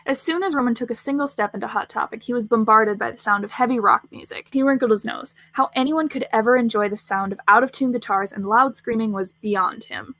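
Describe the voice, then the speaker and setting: A woman's voice: fairly high pitch, a little fast, few pauses, bright, forceful tone, no echo. A woman reading scripted fiction aloud as the narrator of a story podcast.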